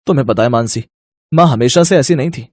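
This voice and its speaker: A man's voice: medium pitch, fast talker, a little mellow, no echo, natural voice. A man playing a caring young husband and son in a cartoon story, earnest and firm. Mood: concerned, resigned. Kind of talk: Hindi animated moral-story voice acting.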